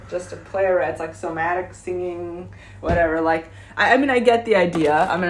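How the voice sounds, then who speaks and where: Slow-paced young woman vlogging over background noise. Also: lowish voice. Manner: rambling, halting, often trailing off. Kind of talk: casual chat to camera. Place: various spots on the go in Bali. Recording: noisy ambient phone-style vlog recording.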